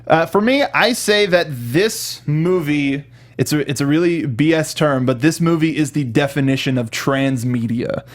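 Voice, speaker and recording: A man hosting a casual film review, steering the segments in an informal, somewhat halting way. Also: a little high. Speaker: talk show host steering the segments.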